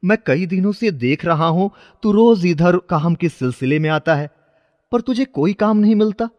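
A man's voice: sits high, lively intonation, moderate pace, highs cut off. Kindly old beggar played by a man, reverberant. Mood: kindly concern. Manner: benevolent, fatherly. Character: carefree, kindly old mystical male beggar. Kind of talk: dramatized children's moral story.

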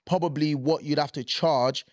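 A young man, co-hosting a podcast in casual chat, animated and gesturing as he talks. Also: on the high side, moderate pace, dry recording, studio quality. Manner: animated, gestures a lot while talking. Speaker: podcast co-host. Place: small studio set, indoors. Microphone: desk mic on boom arm.

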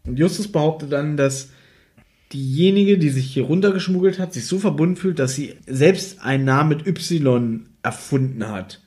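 A man's voice: a little high, moderate pace, darkish tone. A man co-hosting a podcast in casual, conversational retelling and commentary. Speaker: podcast co-host. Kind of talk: casual chat, retelling and critiquing a story.

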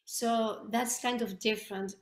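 A woman's voice: fairly high pitch, fairly even intonation, measured pace. A middle-aged Dutch woman with a Dutch accent, talking earnestly as a guest on a video call interview, with many restarts and fillers. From Netherlands. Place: home room with bookshelves. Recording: echoey room on a video call.

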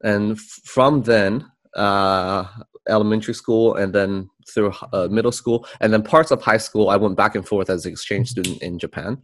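A man's voice: lowish voice, normal speed. A young man from China, a guest on a video-call interview, talking in a laid-back, easygoing way. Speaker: guest, car vlogger.

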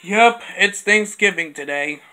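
Quiet, halting toy-show voice. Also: medium pitch, expressive. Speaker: home video toy show maker. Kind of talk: plush toy skit with puppet dialogue. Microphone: camera on a bedroom floor.

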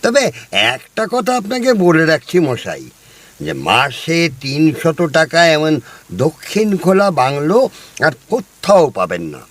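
একজন অভিনেতার করা ধূর্ত বাড়িওয়ালা. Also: male voice, fairly high pitch, huge pitch swings, takes it slowly, dry recording, full character voice. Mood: hearty. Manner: leisurely, bargaining tone. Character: shrewd middle-aged male landlord, boastful. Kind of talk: radio play acting.

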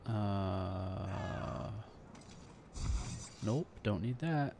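Slow-paced, low-voiced man gaming. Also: big pitch swings, creaky, noisy background. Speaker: gaming channel host. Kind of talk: casual let's play commentary. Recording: loud game music behind voice.

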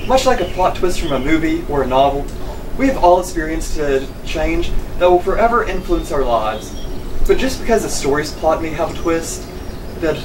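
Teenage boy giving a formal speech. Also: on the high side. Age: teens. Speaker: graduating student speaker. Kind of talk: prepared speech at a podium. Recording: distant mic with air-conditioning hum.

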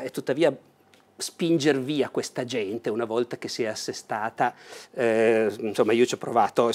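Older man presenting a war game, animated. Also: mid-range voice. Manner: animated, leans over table and gestures while explaining. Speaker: host presenting a board game. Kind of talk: enthusiastic unboxing talk. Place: bright indoor room with a large table.

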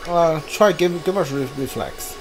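A man's voice: on the high side, a little slow, soft background music, poor audio. A man doing live gameplay commentary as a streamer, thinking aloud as he plays. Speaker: game streamer.

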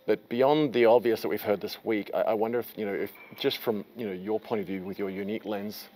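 A man's voice: lowish voice, fairly even intonation, a little fast, warm tone, slight background noise. A man in his forties hosting a conference interview, asking long, rambling questions in an enthusiastic, chatty way, recorded on a lapel mic in a conference room. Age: 40s. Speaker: interviewer host. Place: conference room with a curtain backdrop.

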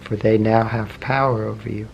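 A man leading a guided meditation, asking slow, gentle questions with long pauses between phrases. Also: fairly low pitch, flat delivery, creaky, muffled recording. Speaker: meditation teacher. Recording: faint background noise with meditation music.

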